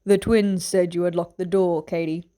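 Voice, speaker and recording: A gruff, fatherly fighting man of middle age, as a woman narrator plays him. He speaks in a rough, grumbling way with plain affection underneath, as in a fantasy story read aloud. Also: lowish voice, flat delivery, moderate pace, warm tone, voice pushed down, close to an everyday voice. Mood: gruff, fond. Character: gruff, tender middle-aged male warrior, fatherly guard.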